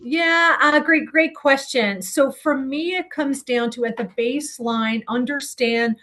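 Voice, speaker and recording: Woman, somewhat high voice, interview guest, conversational, earnest, explanatory, thinking aloud. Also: measured pace, sharp tone. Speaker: interview guest giving career advice.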